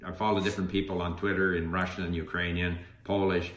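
An older man speaks in a casual conversational way. His voice sits on the low side yet has a bright, forceful edge. The room adds a noticeable echo. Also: level tone, brisk. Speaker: language learner and YouTuber.

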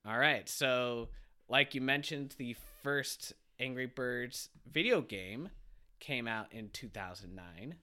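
A man's voice: medium pitch, slow pace, sharp tone. A man hosting a casual podcast chat, a little stuffy from a cold, asking questions and laughing easily. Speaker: podcast host.

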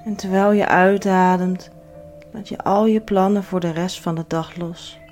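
Low-pitched woman guiding relaxation over soft music. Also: little pitch movement. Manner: soothing, measured instructions with pauses. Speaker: yoga nidra instructor. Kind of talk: guided relaxation meditation. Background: background music.

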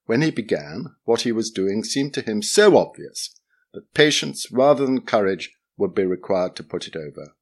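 Man reading an old memoir aloud, lowish voice, lots of rise and fall. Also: very animated, normal speed. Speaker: reader of a memoir essay. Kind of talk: measured reading of a literary memoir.

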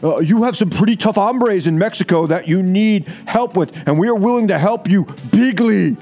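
A man playing a bombastic, boastful American president in a comedic reading, halting and repeating himself. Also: high voice, mellow, soft background music. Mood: boastful, insistent. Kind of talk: comedic dramatic reading over music.

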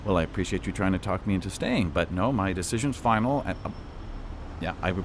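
Over noticeable background noise comes an embattled middle-aged official in an audio drama. His halting, profane talk swings widely in pitch. He sounds anxious and sardonic throughout. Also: lively intonation. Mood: strained politeness. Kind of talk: naturalistic audio drama acting.

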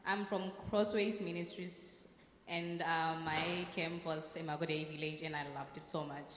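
A woman's voice: low-pitched, steady tone, moderate pace. A South African woman introducing herself to a group as a camp counselor. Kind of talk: spoken self-introduction to a group. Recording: echoey hall, faint background noise.

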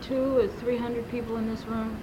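A woman's voice: a little high, flat delivery, moderate pace, dark tone, poor audio. A woman conducting an oral-history interview, asking short questions to prompt memories.

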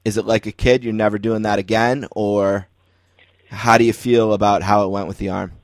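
Low-voiced American man hosting, dry sound. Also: flat delivery, moderate pace, dry recording. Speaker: sports podcast host. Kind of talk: casual conversational interviewing.

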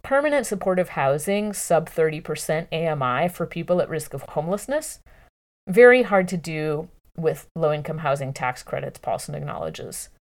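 A woman narrating a long-form news article from a script in a measured, clearly phrased way. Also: deep voice, animated.

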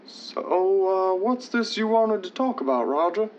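A man's voice: sits high, animated, a little slow, a little hiss or hum. A man playing a glib radio writer and theatrical announcer in an old-time radio drama. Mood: breezy. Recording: dull lo-fi sound with music.

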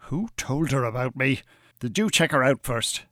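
An actor with an Irish accent playing a smooth, bossy, pompous hypnotist and con-artist in a radio drama. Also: male voice, mid-range voice, expressive, moderate pace, fairly bright tone, no echo, studio quality, close to an everyday voice. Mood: irritated, bossy.

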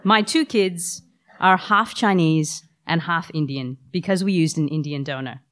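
A woman's voice: deep voice, measured pace, fairly bright tone. A woman, who has the flu, telling a personal story with wry humor to a live audience. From Australia. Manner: wry, self-deprecating humor.

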